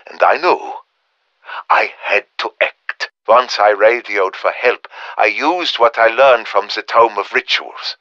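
An earnest scholar of the occult for a video game. His voice swings widely in pitch and has a forceful, bright edge. He speaks in formal, precise phrases, recorded close and dry. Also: no room sound, dull audio, full character voice. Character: earnest, erudite German professor of demonology, embattled ally. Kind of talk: dramatic game voice acting, radio briefing monologue.

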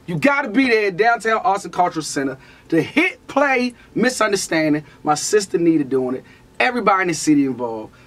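A young man delivering an energetic promo as an event host. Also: sits high. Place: small radio or recording studio.

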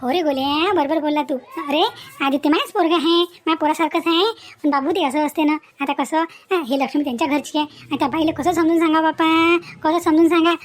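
Woman playing high-pitched, outspoken village mother in cartoon. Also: steady tone, fairly quick, lightly acted. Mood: earnest, exasperated. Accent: rural Vidarbha Marathi. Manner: chatty, emphatic, repetitive scolding and advising. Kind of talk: animated family story dialogue.

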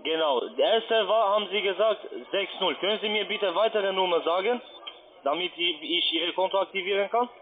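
High-pitched accented man on a phone line. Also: a little hiss or hum. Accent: foreign. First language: not German. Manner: insistent, repetitive, halting. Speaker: call centre agent posing as customer adviser. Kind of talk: unscripted phone sales pitch. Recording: tinny band-limited phone line.

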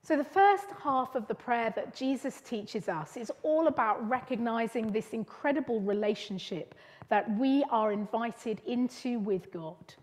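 A middle-aged woman preaching from a lectern in a church. Her voice sits a little high, and she teaches in an earnest, gentle way with measured pauses. The church reverb hangs on after her words. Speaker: preacher leading a church service. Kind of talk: sermon. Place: church hall.

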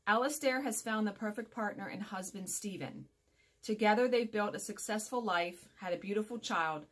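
A woman's voice: mid-range voice, a bit bright. Chatty woman in her forties, casual vlogger. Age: 40s. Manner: chatty, rambling, self-correcting, emphatic. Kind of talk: casual chat to viewers. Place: small furnished room at home, indoors.

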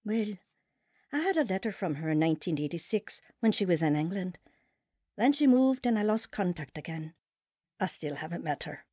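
A woman's voice: lowish voice, big pitch swings, moderate pace, dark tone, no echo, clean studio sound. A woman playing an earnest, devout mother telling her life story in a sincere radio drama style. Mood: candid. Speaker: lead actor and narrator.

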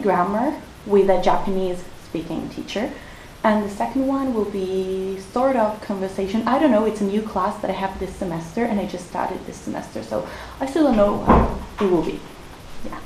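A young Italian woman in her twenties, speaking English with an Italian accent, chats to an action camera held at arm's length. Her voice sits at a middle pitch and sounds roomy. Steady noise runs underneath. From Italy. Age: 20s. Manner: chatty, with frequent "so yeah" fillers. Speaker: vlogger, university student. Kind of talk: casual vlog talk to camera. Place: small bedroom, then outdoors in city streets and a lecture hall.

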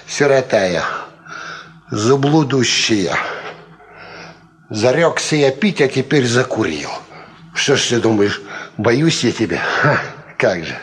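An actor in a Soviet radio play playing a drunken, boastful old ex-soldier farmhand, rambling from mutters to shouts. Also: male voice, medium pitch, big pitch swings, slow pace, pauses often. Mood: drunken, scornful. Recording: 1950s Soviet radio drama recording.

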